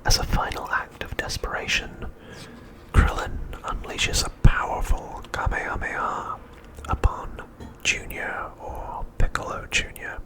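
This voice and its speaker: A man whispering a scripted recap as ASMR narration, softly and with deliberate pauses, close to the microphone. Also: rough recording.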